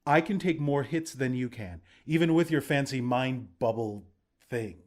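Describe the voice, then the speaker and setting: A man's voice: mid-range voice, unhurried, darkish tone, lightly acted. A man playing a battle-hardened resistance commander in a video game, plain-spoken, protective and reassuring. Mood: friendly, advising. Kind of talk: video game character voice lines.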